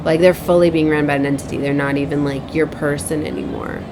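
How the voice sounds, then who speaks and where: Woman, slightly low pitch, casual spiritual vlogger, rambling, repetitive, seeking agreement, noisy recording with vehicle-like rumble. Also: steady tone, quick pace. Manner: rambling, repetitive, frequently seeks agreement with tag questions. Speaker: spiritual advisor and tarot reader, host. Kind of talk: casual rambling spiritual vlog with personal stories.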